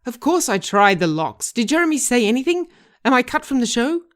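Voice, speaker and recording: A woman's voice: a little high, sing-song, a little fast, voice pushed up. A woman voicing a brash, indignant female cleaner who is curt and demanding, in a scripted reading. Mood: agitated.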